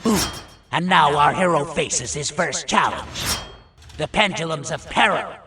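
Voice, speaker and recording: A man's voice: fairly high pitch, lively intonation, unhurried, distant mic, background noise, voice pushed up. A man voicing a theatrical goblin announcer who gloats and teases with dramatic mock suspense, as in an audio storybook. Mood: teasing suspense. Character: theatrical male goblin game-show announcer, gloating showman.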